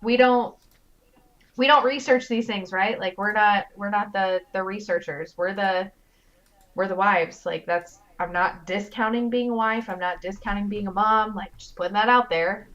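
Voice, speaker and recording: Brief: young woman guest, expressive and chatty, slightly muffled lo-fi mic. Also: mid-range voice, normal speed. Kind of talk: casual chat. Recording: dull lo-fi mic.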